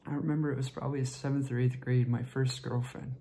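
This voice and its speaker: A man performing a dramatic monologue as a tipsy, lovesick young man confessing to a friend, halting and repetitive, with a creaky voice that often drops toward a whisper. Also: medium pitch, little pitch movement, warm tone, lightly acted. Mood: wistful, rueful. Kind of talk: dramatic stage monologue rehearsal.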